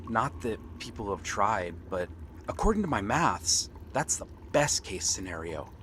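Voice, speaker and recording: A man's voice: fairly low pitch, big pitch swings, measured pace, a bit bright, slight background noise. A man playing a nervous, bookish young pirate prince in an ASMR roleplay, hesitant and earnest. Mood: wistful, earnest.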